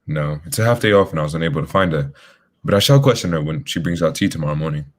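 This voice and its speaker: A man playing a composed, courteous gentleman detective in a radio drama, with formal, polite wording. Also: extremely low pitch, expressive, fast talker. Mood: brisk, thoughtful.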